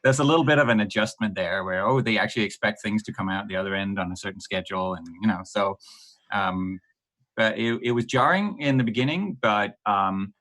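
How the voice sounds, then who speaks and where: Middle-aged Danish man, low voice, thoughtful and halting guest on a podcast. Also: a bit bright, rough recording. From Denmark. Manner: hesitant, many ums and restarts, laughs lightly. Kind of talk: podcast interview.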